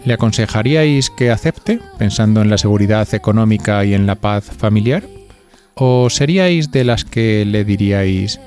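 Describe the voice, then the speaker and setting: A man's voice: on the low side, animated, moderate pace, a little mellow, soft background music. A man with an accent from Spain hosting a podcast, reading scripted narration and putting conversational questions to listeners. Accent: Spanish from Spain. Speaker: podcast host.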